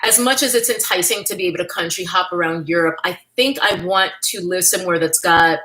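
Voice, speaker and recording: A woman's voice: fairly low pitch, a little fast, bright, forceful tone, poor audio. A woman from New York, hosting a casual livestream, chatting candidly and thanking viewers by name as she reads their comments. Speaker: livestream host.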